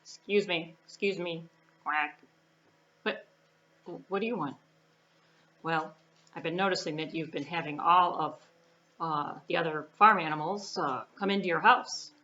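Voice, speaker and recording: A woman's voice: deep voice, measured pace, pauses often, pushed and bright, muffled recording. A woman voicing a nosy duck in a children's puppet story, wheedling and hesitant, not wanting to be left out. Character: nosy duck who hates being left out.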